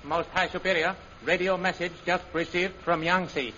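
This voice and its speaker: A man's voice: high voice, steady tone, normal speed, close to an everyday voice. An actor playing an obedient henchman in 1930s radio style, clipped and servile. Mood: servile, brisk. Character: obedient male henchman reporting to his master. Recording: muffled, hissy old radio recording.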